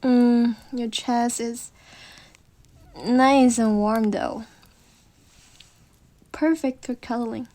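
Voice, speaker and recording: A woman plays a needy wolf girlfriend with a high voice and slow, drawn-out delivery. She is bossy yet affectionate, pouting and teasing in an intimate roleplay audio aimed right at the listener. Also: steady tone, warm tone. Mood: smug, cuddly. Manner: pouty, pleading, teasing. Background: computer keyboard typing.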